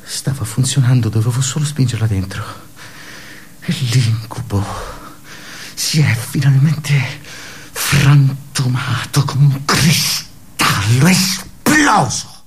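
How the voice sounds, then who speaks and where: Creaky-voiced, tormented office worker. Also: male voice, mid-range voice, animated, heavily acted. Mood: feverish, resolute. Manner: halting, intense, shifting from hushed murmurs to anguished outbursts. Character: wounded, despairing office worker recounting his suicide attempt. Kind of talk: radio theatre dramatic monologue.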